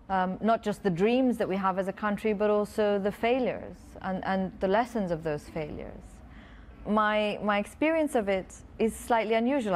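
Woman in her thirties, from Pakistan, earnest and articulate, mid-pitched voice, festival stage, faint room sound. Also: a little mellow, a little hiss or hum. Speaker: author guest. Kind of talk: reading aloud and answering interview questions. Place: festival stage before a large audience.

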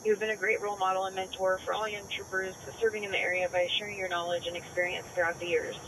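A man's voice: sits high, level tone, fast talker. A man working as a police dispatcher, reading a formal tribute over the radio. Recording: noisy police radio transmission recorded in car.

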